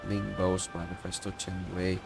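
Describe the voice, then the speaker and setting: A man giving casual commentary on his gameplay, halting and often repeating his words. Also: sits low, flat delivery, creaky. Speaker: gamer commentating on own playthrough. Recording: loud game music over voice.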